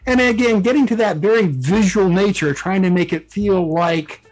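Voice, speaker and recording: A man's voice: sits high, normal speed. A man speaking as a guest author in a casual interview, explaining things conversationally. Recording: background music. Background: music under the talk.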